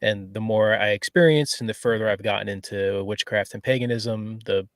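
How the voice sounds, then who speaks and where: A man co-hosts a relaxed podcast chat, working through his ideas in a thoughtful, explanatory way. His voice is recorded very dry and close, with no room sound around it. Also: on the low side, normal speed, no room sound. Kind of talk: casual chat.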